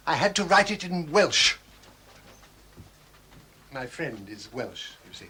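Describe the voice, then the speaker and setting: An actor playing a scheming shopkeeper who talks down to children in a patronising, smooth way. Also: male voice, fairly high pitch, big pitch swings, slow pace, pushed and bright, full character voice. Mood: coaxing. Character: scheming shopkeeper man feigning kindness. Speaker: villain.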